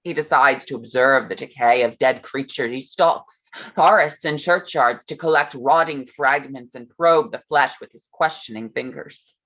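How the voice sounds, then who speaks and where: A woman's voice: rumbling low, moderate pace, hardly any pauses, lo-fi sound. A woman playing Mary Shelley as an intense, teasing storyteller in a staged reading, pointed and suspenseful. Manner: suspenseful, pointed, shifting into hushed tones. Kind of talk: dramatic staged reading of a gothic tale.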